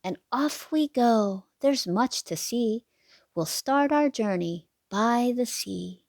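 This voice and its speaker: Female storybook reader, unhurried and expressive, slight creak in the voice, close dry sound. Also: on the high side, dry recording. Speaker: read-aloud narrator for children. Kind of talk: children's picture book read-aloud.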